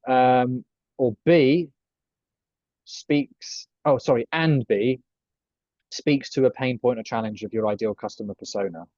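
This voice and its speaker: A man's voice: mid-range voice, unhurried, long pauses, a little mellow, dry recording, highs cut off. Chatty, swearing coaching man. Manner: chatty, swears freely, jokey asides. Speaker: personal branding coach. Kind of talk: casual group coaching talk.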